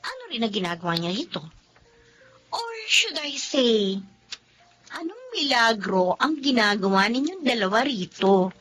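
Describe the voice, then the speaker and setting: A woman acting a suspicious, sharp-tongued young daughter in a radio drama, prying and sarcastic, muttering asides to herself. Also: on the high side, very animated, a little slow, faint music behind.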